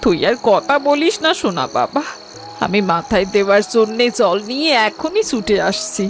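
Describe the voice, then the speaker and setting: A careworn cartoon village mother, acted by a woman, tender and sorrowful. She speaks soothingly at a middling pitch. Faint music runs underneath. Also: lively intonation, moderate pace, close to an everyday voice. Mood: soothing, worried. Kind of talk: melodramatic cartoon dubbing.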